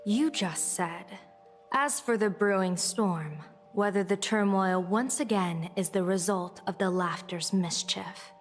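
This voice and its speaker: Female actor, composed fantasy game strategist: very unhurried, philosophical, probing, reverberant space. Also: medium pitch, close to an everyday voice. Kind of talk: video game story voice acting. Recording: long reverb, faint music behind.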